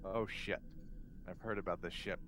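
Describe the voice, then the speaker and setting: A man playing a tabletop game, chatting casually in a playful, halting way as he improvises. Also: sits low, steady tone, fairly bright tone, slight background noise, low-quality recording.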